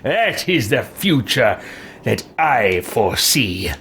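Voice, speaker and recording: A man puts on a haughty would-be dark emperor for game roleplay. He is pompous and disdainful, speaking slowly with big swings in pitch. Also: sing-song, creaky, a little hiss or hum, full character voice. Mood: grandiose.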